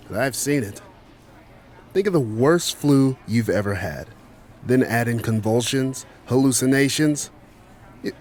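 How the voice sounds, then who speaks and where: A man's voice: medium pitch, a little hiss or hum, natural voice. A man playing a blunt, prejudiced ordinary guy answering casually in an interview with vivid, informal talk.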